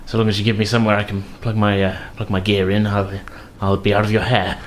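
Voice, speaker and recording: Discreet tech runner for tabletop game, acted by a man: male, lowish, courteous, hesitant; slight noise behind. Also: little pitch movement, quick pace, close to an everyday voice. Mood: polite, uneasy. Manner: hesitant, polite, nervously chuckling. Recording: faint background noise.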